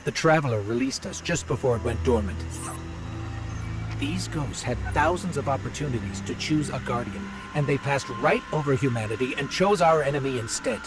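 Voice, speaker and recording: A man's voice: mid-range voice, expressive, normal speed, natural voice. An actor playing a curious, direct male robot companion who keeps asking questions in video game dialogue. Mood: wary. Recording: loud game music behind.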